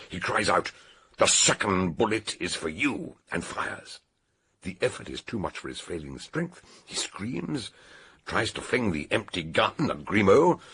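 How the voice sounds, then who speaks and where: An eccentric old British detective from a radio mystery. His voice is deep and thoroughly rough, full of fry and husk. He explains deliberately and theatrically, with lively rises and falls. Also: heavily acted. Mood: dramatic, relishing. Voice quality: gravelly, husky. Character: eccentric older male detective expounding a murder solution. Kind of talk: radio detective drama acting.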